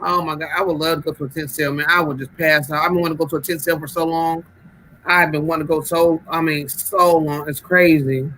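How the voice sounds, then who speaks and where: Bright, excitable male chat host. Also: a little high, a little hiss or hum, low-quality recording. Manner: excitable, quick to exclaim and laugh. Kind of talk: casual group chat.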